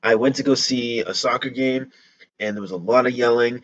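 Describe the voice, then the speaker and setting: A hoarse young man hosting a casual trailer reaction, breaking into excited rapid exclamations. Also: mid-range voice, muffled recording. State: hoarse from yelling.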